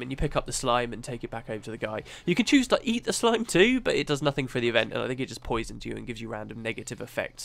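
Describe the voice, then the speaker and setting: A man with a cold narrating a gameplay guide, explaining things step by step in a relaxed, chatty way. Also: medium pitch, gravelly. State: has a cold, sniffly. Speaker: gaming channel host.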